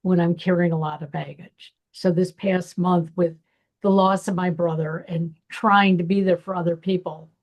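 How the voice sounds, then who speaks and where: A woman with a gravelly voice hosting a podcast, telling a personal story in a reflective way. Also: fairly low pitch, steady tone, normal speed, a little mellow, highs cut off. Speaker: podcast host. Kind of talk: personal conversation.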